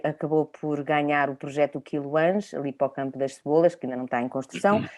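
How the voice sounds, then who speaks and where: Low-pitched woman, halting Portuguese delivery. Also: a little mellow. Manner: halting, searching for words, checks with tag questions. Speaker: panel speaker. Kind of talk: reflective panel discussion, spontaneous.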